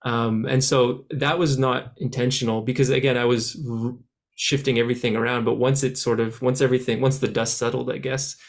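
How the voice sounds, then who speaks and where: A man's voice: medium pitch, level tone, fairly quick, gravelly. A man, born in France and raised in Canada, talking at length as a guest musician in a casual interview, with many restarts and filler words.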